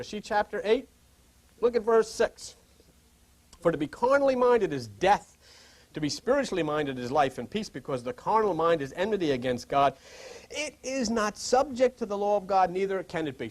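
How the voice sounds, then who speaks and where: A male pastor giving an informal sermon, reading scripture and pressing listeners with rhetorical questions. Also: high-pitched.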